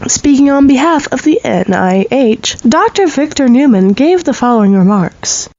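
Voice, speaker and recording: Woman playing a high-voiced, composed news anchor. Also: lively intonation, normal speed, a little mellow, no echo. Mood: formal, composed. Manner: formal, composed newsreading. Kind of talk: scripted news bulletin. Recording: dull, slightly muffled sound.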